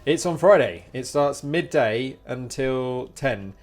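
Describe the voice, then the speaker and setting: A man's voice: medium pitch, lively intonation, slow pace. A man chatting casually and replying to viewers as he live streams a game. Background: music.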